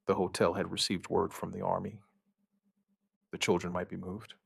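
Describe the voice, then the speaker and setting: A man narrating a scripted first-person survivor horror story, gravely and in short, measured phrases with dramatic pauses. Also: deep voice, monotone, a bit bright, dry recording, studio quality.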